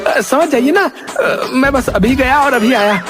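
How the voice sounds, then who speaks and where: A man's voice: very high-pitched, animated, measured pace. A man voicing a cowardly, grumbling royal minister in a cartoon, stammering, hesitant and whiny. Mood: nervous, evasive. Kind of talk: cartoon voice acting. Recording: music behind the voice.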